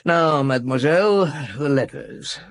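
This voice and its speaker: An actor playing a shrewd, unhurried French chief inspector in a radio drama, musing in hums and asking blunt, probing questions. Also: male voice, a little high, lively intonation, no room sound, clean studio sound. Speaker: actor in the lead role of a radio detective drama. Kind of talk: British radio drama acting with first-person narration.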